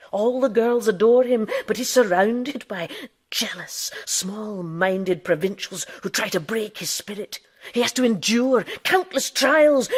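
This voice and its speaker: An actress playing a defiant woman who lived as a man, teasing and theatrical, dropping into breathy hushes, in radio drama style. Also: high voice, exaggerated voice.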